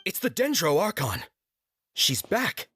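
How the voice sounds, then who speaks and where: A woman's voice: lowish voice, sing-song, measured pace, a bit bright, a little hiss or hum. A woman playing a curious, hopeful townswoman in a video game. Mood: surprised, hopeful.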